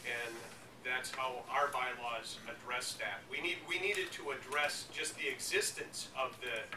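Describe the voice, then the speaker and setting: A man explaining things to a workshop in a halting way, often repeating and rephrasing himself. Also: medium pitch, fairly even intonation. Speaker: association president presenting a workshop. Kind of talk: explanatory workshop talk with audience questions. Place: indoor conference workshop room. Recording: echoey, noisy room, distant microphone.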